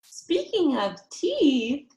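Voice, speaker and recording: A woman's voice: sits high, wildly swooping pitch, extremely slow, darkish tone, pitched above the performer's own voice, full character voice. A woman voicing a young girl's mother in a storybook, affectionate and lightly exasperated, teasing and reassuring.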